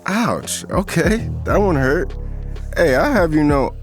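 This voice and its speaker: Audio drama role: smooth-talking, teasing young American street guy played by a man, big pitch swings, light music bed. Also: sing-song, slow pace. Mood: boastful, playful. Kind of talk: casual audio drama dialogue.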